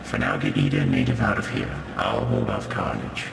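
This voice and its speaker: A man's voice: extremely low pitch, huge pitch swings, brisk, gravelly, pitched below the performer's own voice, full character voice. A man voicing a stern, noble alien symbiote warrior, solemn and formal, in echoing, noisy sound. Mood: grave resolve. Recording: heavy reverb, echoey, loud background noise.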